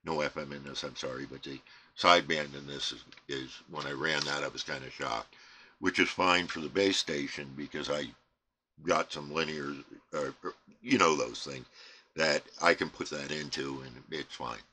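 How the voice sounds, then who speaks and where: A middle-aged American man giving a casual, unscripted show-and-tell, rambling and halting as he trails off. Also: rumbling low, pushed and bright. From United States. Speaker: CB radio hobbyist presenting his equipment. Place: indoor radio bench crowded with equipment. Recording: clear background noise, room ambience.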